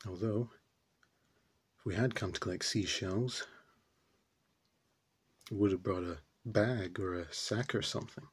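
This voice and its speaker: A man playing a puzzled, brooding character in a theatrical stage monologue, pondering haltingly with deliberate pauses. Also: fairly low pitch, slightly rough. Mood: puzzled musing.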